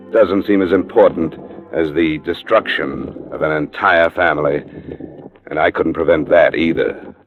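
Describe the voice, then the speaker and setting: A man's voice: low-pitched, lightly acted. A man playing a tough, level-headed American investigator in an old-time radio detective drama, blunt and dry in delivery. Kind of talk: 1940s-50s radio detective acting and first-person narration. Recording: narrow, muffled old radio recording, music.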